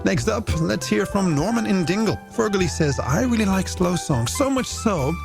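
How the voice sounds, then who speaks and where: A man's voice: high voice, lively intonation, normal speed, creaky. A man presenting a late-night music radio show in playful, theatrical, florid patter from a studio. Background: music bed under the voice.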